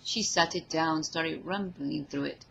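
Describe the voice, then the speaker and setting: A woman reading fan fiction aloud as an expressive story narrator. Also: low voice, moderate pace, vocal fry, dull audio, a little hiss or hum.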